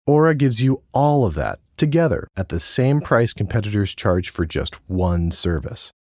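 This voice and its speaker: A man's voice: lowish voice, very animated, normal speed, darkish tone, no room sound, studio quality. A man reading scripted advertisement narration as an announcer.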